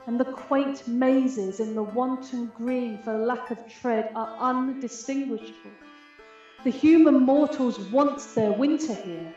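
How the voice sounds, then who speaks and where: A proud, aggrieved fairy queen acted by a woman declaims Shakespearean radio verse in a stately, unhurried way. Her voice sits fairly high and rings with very heavy echo, slightly muffled, with music underneath. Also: a little mellow, natural voice. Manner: stately, measured, declamatory delivery.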